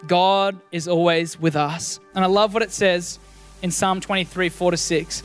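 A man's voice: sits high, fairly even intonation, moderate pace, soft background music. A man preaching a sermon as a pastor, earnest and encouraging, often repeating his first words as he starts a sentence.

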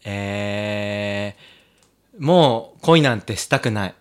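A man's voice: lowish voice, expressive, drawn-out words, clear, resonant tone. A man co-hosting a podcast in casual, playful banter with blunt, teasing retorts. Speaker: podcast co-host.

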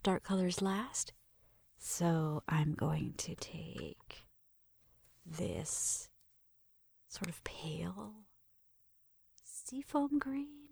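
A woman whispers softly and very slowly in an ASMR roleplay, with a fry that crackles through her breathy voice. She is gentle and unhurried, murmuring each step. The microphone is close and the sound is very dry. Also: fairly low pitch, no echo. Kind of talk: ASMR roleplay, soft personal attention.

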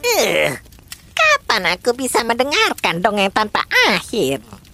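A woman's voice: medium pitch, wildly swooping pitch, measured pace, bright, forceful tone, soft background music, heavily acted. A woman voicing a demanding, menacing old witch in a children's storybook, with a theatrical, threatening delivery.

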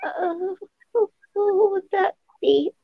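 A tiny, very high-pitched timid girl, voiced by a woman in an improvised audio drama. She stammers shyly and speaks slowly, easily flustered. The microphone sounds dull and lo-fi. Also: vocal fry, a little hiss or hum, heavily acted. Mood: flustered, stammering. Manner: stammering, hesitant, halting. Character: shy, flustered, timid young female newcomer named Clover.

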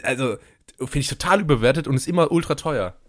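A man chatting on a podcast in a loose, rambling way, hesitating often before landing an ironic point. His voice sits at an ordinary male pitch. The recording is close and dry, with no room sound at all. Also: lively intonation, quick pace, fairly bright tone, no echo. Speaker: podcast co-host. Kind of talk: casual chat.

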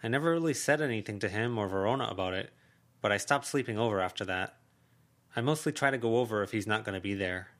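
A man's voice: on the low side, quick pace, a bit bright, natural voice. A man voicing a guarded teenage girl who speaks hesitantly and dryly. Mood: complaining.

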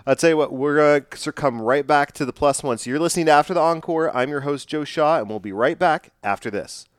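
A man's voice: on the high side, fast, clean tone, no room sound, clean studio sound. A man hosting an interview show, giving an upbeat, brief sign-off before a break. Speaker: podcast host.

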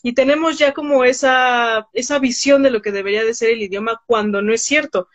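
A woman hosts a relaxed interview in a high register. She speaks hesitantly and restarts her sentences often. The recording sounds dull and lo-fi. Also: fairly quick. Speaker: interview host. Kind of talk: casual interview chat.

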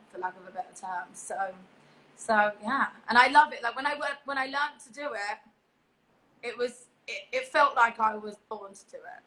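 A woman's voice: fairly high pitch, pushed and bright, slight background noise, poor audio. A young woman guest chatting casually on a video call, laughing often and restarting her sentences. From England. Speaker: guest performer. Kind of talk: casual interview chat.

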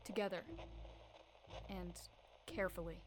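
A woman's voice: on the low side, little pitch movement, measured pace, faint music behind, natural voice, low-quality recording. A woman playing a cautious, concerned and polite paranormal investigator in an audio horror drama.

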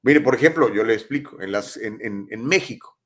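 A man in his fifties hosts a live talk show, reading and answering viewers' comments. His voice sits fairly low, and he talks in an animated, emphatic way, full of rhetorical questions. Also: normal speed. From Mexico. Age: 50s.

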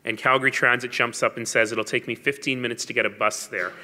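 A man's voice: medium pitch, steady tone, fast talker, bright, forceful tone. A man giving a public speech as a mayor, telling stories with wry asides and emphasis. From Canada. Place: large hall. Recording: long hall reverb.

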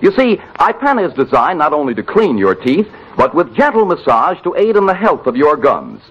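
Male vintage ad announcer, animated intonation, scripted pitch, dull old broadcast sound. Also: brisk, slightly rough. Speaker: commercial announcer. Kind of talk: 1940s radio advertising read. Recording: muffled vintage radio broadcast.

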